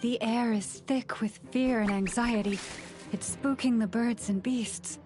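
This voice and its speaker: A woman's voice: a little high, fairly even intonation, unhurried, a little mellow, faint music behind, natural voice. An actress playing an earnest, troubled and concerned woman in a video game. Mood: worried. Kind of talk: dramatic game voice acting.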